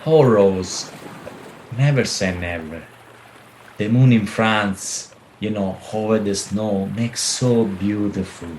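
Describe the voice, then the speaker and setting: Slow, melodramatic Italian romantic. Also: male voice, lowish voice, a little mellow, poor audio. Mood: smooth, amorous. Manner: effusive, theatrical, broken English. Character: melodramatic Italian widower and smooth ladies' man. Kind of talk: radio play acting. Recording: faint background noise.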